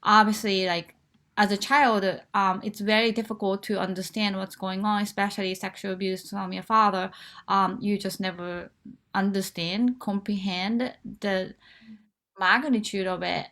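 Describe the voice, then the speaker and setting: A woman's voice: medium pitch, fairly even intonation, normal speed, a bit bright. A woman, from Japan, frankly telling her life story as a guest in a live online interview, with fillers and restarts. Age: 40s.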